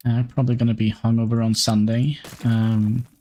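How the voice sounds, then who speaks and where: A man talks through a game as he plays, pausing and restarting while he works things out. His voice sits at an ordinary middle pitch, with faint music underneath. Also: level tone, mellow. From Norway. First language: Norwegian. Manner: thinking aloud, halting with fillers. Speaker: streamer. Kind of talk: live gameplay commentary.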